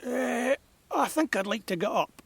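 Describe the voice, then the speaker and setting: A man's voice: extremely high pitch, animated, a little slow, creaky, slightly rough, dry recording, exaggerated voice. An actor playing a grumbling, wry eighty-year-old Scottish man in an audio drama, speaking broad dialect. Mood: wry, brisker.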